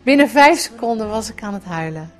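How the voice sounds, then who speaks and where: A woman's voice: fairly high pitch, expressive, fairly quick, soft background music. A middle-aged woman, telling a personal recollection in a conversational, reflective way. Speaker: writer, guest presenting a personal radio piece.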